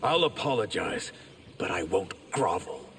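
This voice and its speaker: A man's voice: sits low, big pitch swings, measured pace, creaky, full character voice. A man playing a proud warrior in a fantasy video game, speaking earnestly and formally. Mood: grave, resolute. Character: proud male warrior addressing his ruler. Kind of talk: video game dramatic acting. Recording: long reverb, loud noise and clicks.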